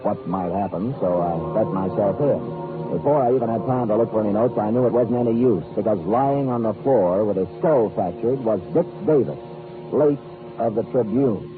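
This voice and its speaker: An actor playing a wry, deadpan hard-boiled private detective in a 1950s radio noir drama. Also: male voice, fairly low pitch, moderate pace, lightly acted. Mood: wry narrating. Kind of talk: 1950s radio noir acting, scripted narration. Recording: tinny old radio recording, music behind.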